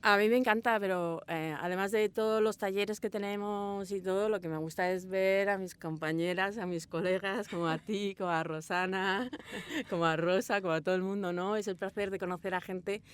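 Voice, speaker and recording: A woman's voice: medium pitch, steady tone, normal speed, clean tone. A woman talking enthusiastically and casually as an interview guest, a director of audio fiction. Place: small sound booth.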